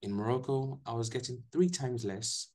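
A young man with a Ghanaian accent, a guest telling his story in a relaxed way on a video call from a small room, often restarting his sentences. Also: medium pitch, normal speed. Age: young adult. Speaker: guest, English teacher. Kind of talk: casual video-call interview.

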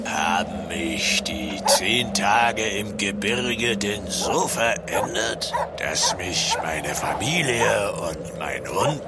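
A wounded old family tyrant in a gothic audio drama. He is slow and gravelly, swinging widely from sinister hush to imperious bark. Loud music plays behind him. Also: lowish voice, animated, exaggerated voice. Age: older. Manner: imperious, querulous, swinging between whisper and bark. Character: old, wounded, menacing family patriarch turned vampire.

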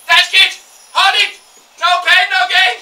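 A man's voice: very high voice, level tone, unhurried, bright, forceful tone, exaggerated voice. A man playing a boastful, washed-up Finnish drinking coach on a theatre stage, with a Finnish accent, barking drills and rambling. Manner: barking drill commands, then rambling chatty asides. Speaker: stage actor in a comic monologue. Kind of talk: live theatre monologue. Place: dark theatre stage with an audience. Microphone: far room mic. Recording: roomy hall sound, from far off.

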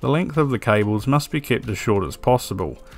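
A man giving a calm, methodical scripted voice-over for an electronics build tutorial, with a dry sense of humor. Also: medium pitch, brisk, vocal fry. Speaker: electronics DIY video maker. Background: soft background music.

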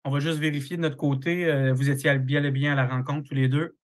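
A man runs a formal committee meeting over a video call. His voice stays flat and level, and he works through procedural points with frequent hesitations and fillers. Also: medium pitch. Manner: procedural, halting with many fillers. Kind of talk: chairing a formal online meeting.

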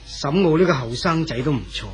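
A man playing a kindly, talkative old church pastor in a 1970s radio drama, with a Hong Kong Cantonese accent and a gentle, unhurried manner. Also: a little high, soft background music, close to an everyday voice. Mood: kindly, helpful. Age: older.

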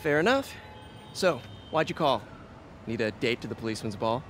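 An actor playing a wisecracking young masked superhero in a video game, quippy, playful and friendly. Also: male voice, medium pitch, expressive, normal speed, background noise, lightly acted. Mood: dry, casual.

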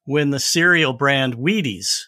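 A man's voice: medium pitch, unhurried, bright, forceful tone, no echo, clean studio sound. A man talking casually as a guest in a podcast conversation.

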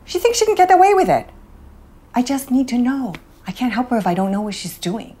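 A fed-up mother of teenagers, acted out by a woman, scolding her kids with a lively voice that swoops high in outrage. She is fairly high-pitched for a woman, with big dramatic swings. It is played as a comedy sketch. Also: fairly quick, slight background noise. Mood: scheming. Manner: scolding, dramatic, voice climbing high when upset. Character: strict, exasperated middle-aged mother of teenagers.

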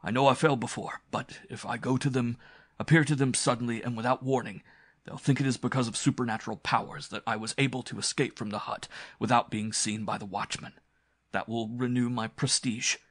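A man's voice: mid-range voice, fairly even intonation, a little fast, fairly bright tone, natural voice. A man voicing a desperate, pleading villain priest in an audiobook adventure story, with a breathless, strained delivery. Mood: frantic pleading. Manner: urgent, pleading, boastful.

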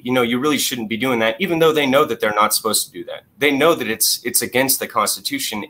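A man's voice: medium pitch, sharp tone. A man in his thirties arguing insistently on a video call through a headset, with stumbling restarts and repeated phrases. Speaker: guest. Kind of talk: heated debate on a video call. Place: small room at home.

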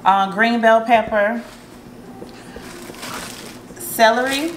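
A woman hosting a relaxed cooking tutorial in her home kitchen, chatting to her viewers with plenty of ums as she works. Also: a little high, very slow, a little hiss or hum, poor audio. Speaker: cooking vlogger.

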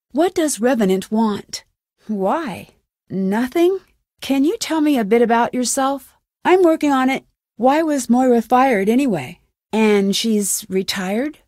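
An earnest young female detective from a mystery video game. Her voice sits on the high side and she speaks with clear, measured curiosity. The sound is close and dry, with very little room. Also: mellow, dry recording. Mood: inquisitive. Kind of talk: scripted video game voice acting.

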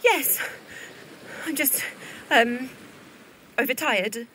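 A woman's voice: high voice, extremely slow, sharp tone, a little hiss or hum, full character voice, rough recording. A woman playing a naive, earnest, lovesick young Victorian sister in an audio drama, speaking hesitantly. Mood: shaken. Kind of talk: period audio drama acting.

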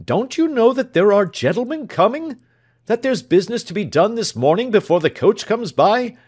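A man voicing a brisk, fussy elderly country doctor who calls out and demands things impatiently in a storybook reading. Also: high voice, sing-song, husky, voice pushed up, exaggerated voice. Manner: loud, impatient calling and demanding.

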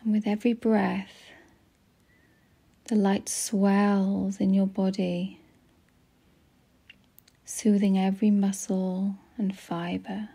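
Soft, breathy woman guiding meditation very slowly. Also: medium pitch, flat delivery. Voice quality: soft, breathy, often near a whisper. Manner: gentle, soothing, unhurried with long pauses. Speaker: guided meditation narrator. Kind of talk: slow guided meditation narration.

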